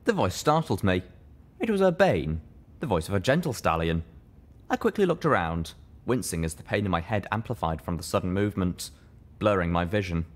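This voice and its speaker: A man with a deep, low voice narrates a story in the first person. He reads from a script in the manner of an audiobook. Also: expressive, fairly quick. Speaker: fan fiction reader, narrator.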